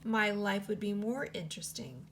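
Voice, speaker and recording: A woman voicing a restless storybook shape that always wants more sides, grumbling in a higher voice than her narration. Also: animated, normal speed, noisy background, lightly acted. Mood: cheerful.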